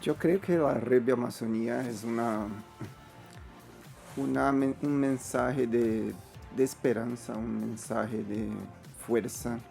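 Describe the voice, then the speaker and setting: Slow, gravelly man, halting speech. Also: medium pitch, fairly even intonation, dark tone, faint music behind. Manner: halting, repeats words. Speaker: guest, network secretary. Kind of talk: radio interview.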